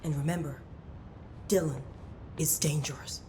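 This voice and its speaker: An actress playing a stern, secretive, middle-aged senior agency official in a video game, speaking in a measured, grave, authoritative way. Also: female voice, fairly even intonation, dark tone. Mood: commanding. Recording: faint rumbling noise behind.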